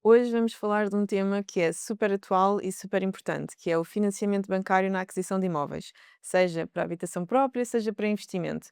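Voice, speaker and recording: Clear-voiced woman hosting a podcast. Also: mid-range voice, fast talker, few pauses, a little mellow, no room sound, clean studio sound. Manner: friendly, laughs often, prompts with short acknowledgements. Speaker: podcast host.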